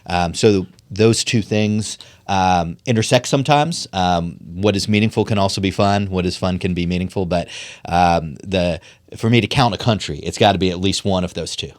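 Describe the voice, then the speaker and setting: A man's voice: low-pitched, moderate pace, no echo, studio quality. A middle-aged man from Pennsylvania, a podcast guest talking in an earnest, thoughtful way with frequent ums and restarts. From Pennsylvania, USA. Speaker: podcast guest, political strategist. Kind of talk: conversational podcast interview.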